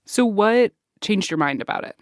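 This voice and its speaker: A woman's voice: medium pitch, lively intonation, moderate pace, dry recording, studio quality. A woman with an American accent hosting a science podcast in a casual, playful, conversational way.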